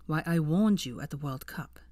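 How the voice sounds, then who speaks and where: Woman voicing a bitter teenage wizard boy, lowish voice. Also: normal speed, darkish tone, no room sound, clean studio sound, lightly acted. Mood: defensive, bitter. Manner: profane, bitter, arguing in long bursts. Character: seventeen-year-old boy, bitter, defensive, cold-tempered wizard. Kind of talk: fan fiction audiobook character dialogue.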